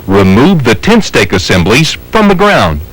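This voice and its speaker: A man's voice: mid-range voice, very animated, unhurried, a bit bright, poor audio. A man with an American accent reading scripted instructional narration in a formal, methodical, step-by-step way. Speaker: training film narrator.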